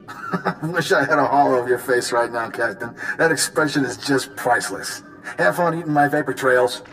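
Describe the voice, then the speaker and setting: Smug rival smuggler villain for a game man acting: sarcastic, swaggering, mid-pitched, over prominent music. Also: normal speed, fairly bright tone, lightly acted, rough recording. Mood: mocking. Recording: music behind the voice.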